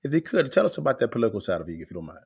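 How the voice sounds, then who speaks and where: A man's voice: medium pitch, very animated, racing, vocal fry, a little mellow, slightly rough. Easygoing male podcast host, casual interviewer. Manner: casual and encouraging, says "man" a lot.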